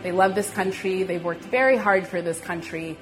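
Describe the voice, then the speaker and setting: A woman's voice: lowish voice, moderate pace, faint music behind, rough recording. A woman giving personal testimony about her family's history, earnest and reflective. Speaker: interviewee.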